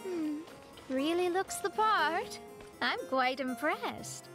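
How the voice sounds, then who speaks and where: A woman's voice: extremely high pitch, expressive, slow pace, music in the background, full character voice. A woman voicing a wry, knowing young woman adventurer in a video game, with a composed, teasing manner. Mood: wry, amused.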